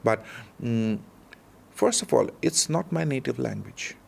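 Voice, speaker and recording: A man's voice: mid-range voice, animated, measured pace, vocal fry. A young man with an Indian accent, chatting casually as an interview guest, hesitant with many restarts and easy laughs. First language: not Hindi, another Indian language. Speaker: guest, expat in Russia.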